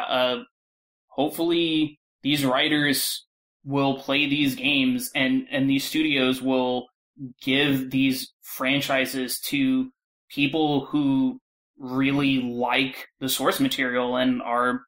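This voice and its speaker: A man's voice: medium pitch, fairly bright tone. A man speaking casually and bluntly as a panelist in a loose discussion.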